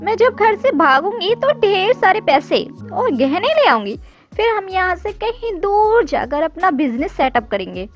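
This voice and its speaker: A woman voicing a spoiled, bold young woman from a rich family in an animated story. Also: very high voice, unhurried, voice pushed up, exaggerated voice. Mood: coaxing, bold. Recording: dull lo-fi audio with music.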